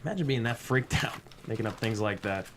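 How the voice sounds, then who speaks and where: A man's voice: on the low side, fairly quick, a bit bright, natural voice. A man playing a cynical, crude diver for hire in a script table read, blunt and dismissive. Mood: cynical, musing. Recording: faint background noise.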